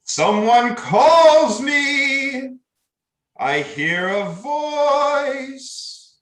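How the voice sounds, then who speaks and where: An echoing voice from beyond, a seance ghost in an old radio play. It is strikingly high and swoops eerily, with every halting phrase stretched out very slowly. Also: male voice, lively intonation, fairly bright tone, full character voice. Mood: eerie, searching. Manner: drawn-out, halting delivery. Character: ghost of John summoned at a seance, slow and eerie. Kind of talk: old-time radio drama acting. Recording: echoey, roomy sound.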